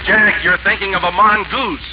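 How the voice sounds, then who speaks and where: A man's voice: high-pitched, steady tone, moderate pace. A man playing the hearty, easygoing announcer sidekick in a 1940s radio comedy, laughing readily. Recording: muffled 1940s radio broadcast with hiss.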